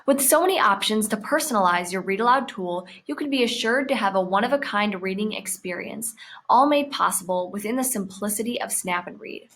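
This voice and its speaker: A woman narrating a scripted software tutorial, explaining each step clearly as the presenter. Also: mid-range voice, animated, brisk.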